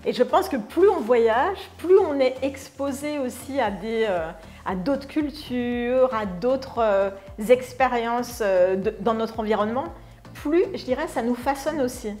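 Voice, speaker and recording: A woman's voice: on the high side, expressive, unhurried, darkish tone, faint music behind. A middle-aged woman co-hosting a conversational interview, reflective and searching for her words. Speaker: co-host, artistic director.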